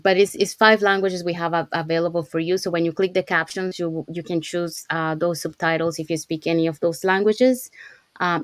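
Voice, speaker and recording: A woman's voice: fairly low pitch, smooth, no echo. A woman from Panama hosting a live online business show over a video call, with frequent ums, uhs and restarts. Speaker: host.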